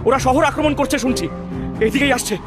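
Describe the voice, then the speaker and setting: A man acting an agitated young man in an audio drama, urgent and excited as he warns companions. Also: very high-pitched, brisk. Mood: urgent warning. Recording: music and crowd clamour behind.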